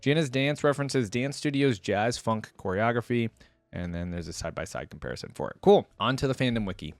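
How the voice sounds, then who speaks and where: A man's voice: medium pitch, expressive, fairly quick, vocal fry, no room sound. A man doing casual reaction commentary and reading aloud, with an enthusiastic, slangy, chatty way of talking to viewers. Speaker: reaction video creator.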